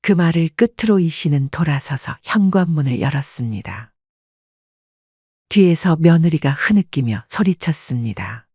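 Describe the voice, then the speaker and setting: A woman's voice: deep voice, lively intonation, moderate pace, darkish tone, slightly rough, dry recording, muffled recording. A woman working as narrator, reading a scripted dramatic story.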